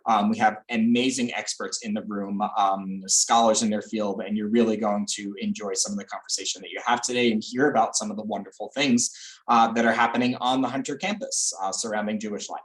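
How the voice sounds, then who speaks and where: A man hosting a college admissions session on a video call, introducing the speakers with smooth, welcoming remarks. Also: lowish voice, fairly even intonation, a little fast, rough recording. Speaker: admissions host.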